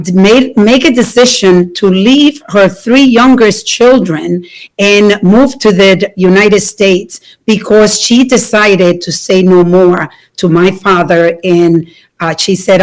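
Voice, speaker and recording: A woman in her fifties from the Dominican Republic, telling her life story to an audience in an earnest way, with many small pauses. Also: fairly low pitch, rough recording. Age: 50s. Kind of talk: personal talk to an audience.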